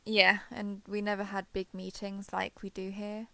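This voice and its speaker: A woman's voice: medium pitch, completely flat delivery, creaky, pushed and bright, no echo. A woman playing a shy, guarded villain turned reluctant ally in a roleplay audio drama, speaking hesitantly and with reserve. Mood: subdued, resigned. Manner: hesitant, halting, reserved, understated. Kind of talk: roleplay audio drama, intimate one-sided dialogue.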